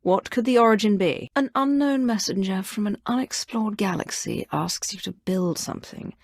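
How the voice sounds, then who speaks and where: A woman's voice: medium pitch, no echo, clean studio sound, close to an everyday voice. A woman voicing a haughty, ruthless Sith sorceress lord in a video game, with imperious, coldly menacing delivery. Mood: stern, questioning. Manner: imperious, cold, menacing, deliberate diction. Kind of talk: dramatic video game voice acting.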